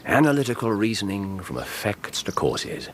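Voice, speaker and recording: A brilliant English detective in a radio play. His low voice swings expressively as he explains with precise, wry self-satisfaction, over faint background noise. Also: sing-song, moderate pace. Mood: wry, courteous. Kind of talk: radio drama acting.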